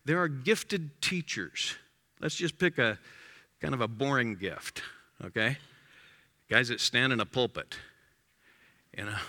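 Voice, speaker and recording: A man preaching a sermon in an emphatic way, his voice rising to loud exclamations. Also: on the high side, long reverb tail.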